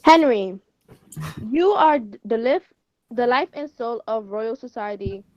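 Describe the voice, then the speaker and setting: A female reader plays a gracious society mother who is tried by her rude son. The voice is rather high and close, and the lines come haltingly, as read from a page. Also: lively intonation, no room sound, muffled recording. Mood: chiding. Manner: halting read-aloud with stumbles.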